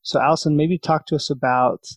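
Man, rapid speech, encouraging interviewer, dry close sound. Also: fairly high pitch, fairly even intonation, no echo. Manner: upbeat, encouraging. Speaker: podcast interviewer. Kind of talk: interview conversation.

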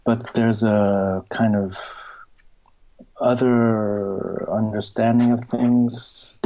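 A middle-aged man talks about his work in a slow, reflective drawl, hunting for words and often starting over. His voice sits low and dark, and some phrases sink into a breathy whisper. The recording is dull and lo-fi. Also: slight background noise. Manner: halting, searching, many restarts, trails into a soft whisper. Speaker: filmmaker and writer. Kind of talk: reflective interview answers.

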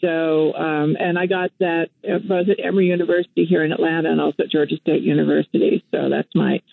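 A woman's voice: low-pitched, steady tone, fast, creaky, no room sound. An older woman from Western Canada, a guest activist and journalist, speaking on the phone in a reflective, storytelling way with many fillers and restarts. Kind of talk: casual interview on the phone. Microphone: telephone. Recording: tinny phone line.

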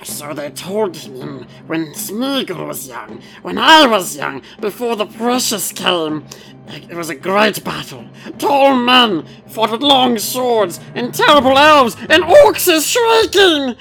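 A gravelly, hissing creature, put on by a woman, crawling through his lines at a dragging pace. His whisper swoops widely up and down, wheedling and furtive, with a bright, sharp edge to the rasp. The voice sounds set back from the mic. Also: a little high, big pitch swings, over music, full character voice, poor audio. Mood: brooding, creepy. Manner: slow, drawn-out, sing-song repetitions.

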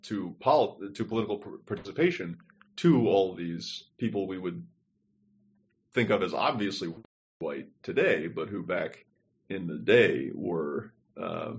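A man's voice: deep voice, expressive, measured pace, lo-fi sound. A man co-hosting a podcast, talking in a digressive, think-aloud way over a remote call. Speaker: podcast co-host. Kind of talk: casual discussion.

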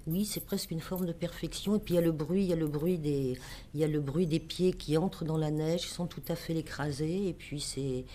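A woman's voice: low-pitched, fairly quick, warm tone, slight background noise. A woman speaking spontaneously and personally, hesitantly searching for her words.

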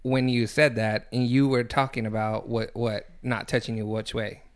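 A man's voice: mid-range voice, flat delivery, normal speed. Curious man with a mid-range voice. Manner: curious, asks follow-up questions. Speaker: podcast host. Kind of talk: casual interview chat.

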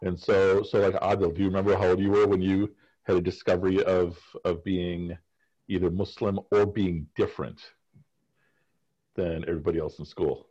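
A man's voice: low-pitched, little pitch movement, moderate pace, mellow, slightly rough, highs cut off. A man leading a class discussion as professor over a video call, asking students questions in a casual, conversational way. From United States.

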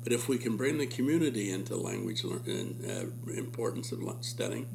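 A man's voice: on the low side, huge pitch swings, brisk, vocal fry, a little mellow, slight background noise. A man interviewing a teacher, encouraging her and praising her answers. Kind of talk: interview conversation.

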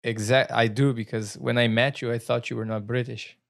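Brazilian-accented male podcast host, gravelly, inquisitive and informal. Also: mid-range voice, flat delivery, fairly bright tone. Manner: curious, halting questions with restarts. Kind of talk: casual interview.

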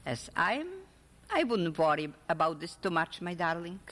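A woman voicing a gentle, reassuring older woman in love in a literary story reading. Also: on the low side, sing-song, moderate pace. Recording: echoey hall with long reverb.

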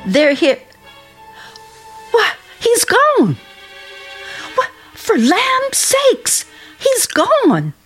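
A woman's voice: high-pitched, heavily acted. A woman acting in a radio play as a chatty, solicitous working-class landlady with a folksy, motherly, fussing manner. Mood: flustered.

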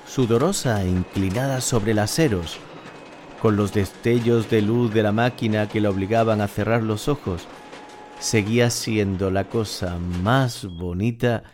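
A man's voice: fairly low pitch, fairly even intonation, measured pace, darkish tone, a little hiss or hum, natural voice. A man playing a smitten, wistful narrator reading scripted literary audio fiction. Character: smitten, wistful male narrator, devoted to his beloved.

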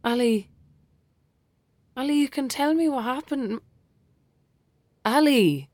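A woman's voice: high voice, takes it slowly, darkish tone, no echo. An actress playing a protective young girl, a caring best friend, in an audio drama, with an Irish accent and a coaxing, soothing manner. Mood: concerned, pleading.

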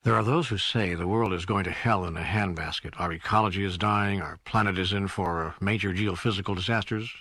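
A man reads his side of an audiobook dialogue. His voice is husky and a little low, with a very dry studio sound. He sounds conversational and questioning. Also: brisk, few pauses, pushed and bright, no room sound. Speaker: audiobook narrator. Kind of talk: scripted audiobook dialogue reading.

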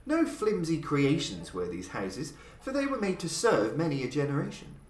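A man reads an old tale aloud with measured, dramatic pacing. His pitch sits a little high and rises and falls widely from phrase to phrase. The room around him gives the voice a clear echo. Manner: measured, dramatic delivery with lively pitch swings. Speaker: podcast host and reader. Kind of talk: scripted storytelling narration.

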